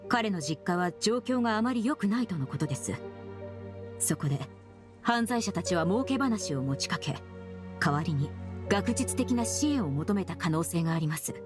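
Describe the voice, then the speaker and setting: A woman playing a composed, polite young investigator in a video game, formal, measured and analytical, used to giving orders. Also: fairly low pitch, lively intonation, music in the background, lightly acted. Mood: analytical, grave. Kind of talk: Japanese game voice acting.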